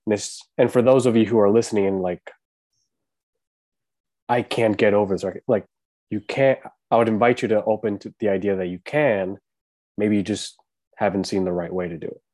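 A man hosting a podcast in casual conversation, with a calm, laid-back, monotone delivery. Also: on the low side, normal speed, long pauses, no echo. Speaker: podcast host.

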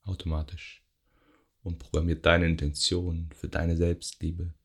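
A man guiding a yoga nidra meditation in a gentle, unhurried way, pausing between short phrases. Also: deep voice, flat delivery, husky. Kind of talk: guided meditation, soothing relaxation instruction.

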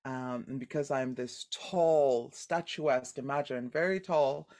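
Deep-voiced woman, animated and expressive. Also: a little slow. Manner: animated, expressive, laughs often. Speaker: guest actor. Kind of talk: casual podcast interview.

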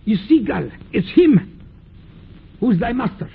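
An actor playing an old, pious farmer, stern yet anxious, with creaky archaic speech in old radio drama style. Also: male voice, very high voice, sing-song, slow, heavily acted. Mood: stern, threatening. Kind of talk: 1940s radio acting. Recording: tinny, noisy old radio recording.

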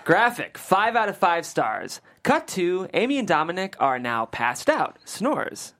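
Low-voiced woman narrating with animated delivery. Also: unhurried. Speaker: stage-direction reader at a script table read. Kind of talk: scripted narration.

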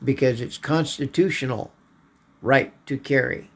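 Male podcast host, hoarse and slow, rambling political monologue. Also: mid-range voice. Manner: slow, halting delivery with frequent throat clearing.

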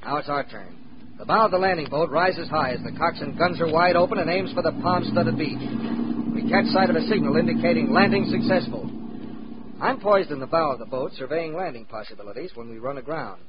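A man's voice: on the high side, close to an everyday voice. An actor playing a wry, plucky American war correspondent, narrating his experiences in a dramatic 1940s radio style. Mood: wry, urgent. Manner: dramatic, measured, wry understatement. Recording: muffled, noisy 1940s radio recording.

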